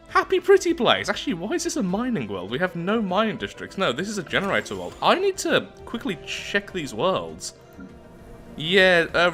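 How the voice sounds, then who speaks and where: A man hosting a gaming video, chattily giving casual roleplay playthrough commentary in an animated, self-deprecating way. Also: medium pitch. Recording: music behind the voice. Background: background music.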